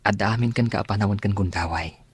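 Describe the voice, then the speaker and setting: A man's voice: lowish voice, a little fast, slightly rough. A man playing a kind, sincere suitor in a radio drama. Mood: earnest, tender. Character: kind, earnest male suitor, generous and sincere.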